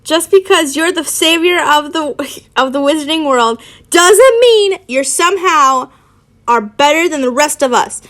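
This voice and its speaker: Girl playing snooty teen wizard boy, american accent, super high and worked up, swearing, storybook reading. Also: lively intonation, measured pace. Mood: furious. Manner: shrill, exclamatory, sweary. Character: indignant, haughty, panicky teenage wizard boy.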